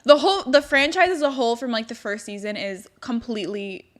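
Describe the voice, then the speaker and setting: A woman's voice: a little high, animated, a little fast. A young woman co-hosting a podcast with her sister, upbeat and playful in casual chat. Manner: upbeat, playful, says "like" often. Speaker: podcast co-host.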